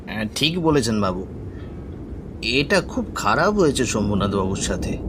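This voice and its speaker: A man playing a pitying, gossiping villager in an animated story, deferential and lamenting. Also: lowish voice, moderate pace, noisy background. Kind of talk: acted dialogue in animated story.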